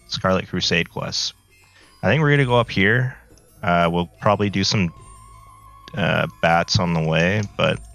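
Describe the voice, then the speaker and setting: A man casually talking through a game as a video creator, relaxed and rambling with many fillers, his voice a bit worn from a recent flu. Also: low voice, creaky, no room sound. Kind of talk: casual gameplay commentary. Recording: slightly dull, lo-fi audio.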